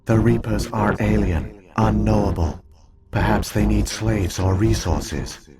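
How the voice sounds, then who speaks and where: An actor playing an ancient alien artificial intelligence in a video game, solemn and coldly logical, speaking in measured, formal phrases. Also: male voice, fairly low pitch, close to an everyday voice. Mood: grave, detached. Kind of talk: scripted video game dialogue.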